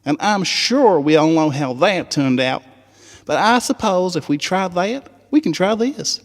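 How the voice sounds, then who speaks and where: A man's voice: high voice, animated, unhurried, long reverb tail, pitched above the performer's own voice. A man voicing a spirited young country filly with a folksy Southern US drawl, eager and scheming, in a story reading. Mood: wry.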